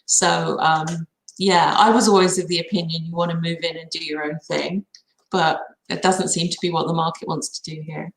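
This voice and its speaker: A woman's voice: low voice, steady tone, normal speed, creaky. Woman chatting casually in an echoey room. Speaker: guest on a live card reading stream. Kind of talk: casual chat. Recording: roomy, echoing sound.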